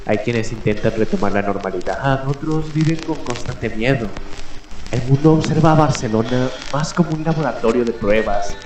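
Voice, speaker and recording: A man hosting a late-night mystery radio show, speaking in dramatic, deliberate phrases with pauses between them. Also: medium pitch. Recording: echoey voice over background music. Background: music.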